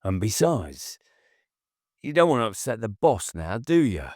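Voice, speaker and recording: A controlling, short-tempered man played by an audiobook reader speaks in a low voice, coaxing one moment and hard and dismissive the next. His menace sits under every line. The recording is dry and close. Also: very animated, unhurried, a little mellow, no echo. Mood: menacing coaxing. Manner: cajoling then hard and dismissive. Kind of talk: audiobook character voice.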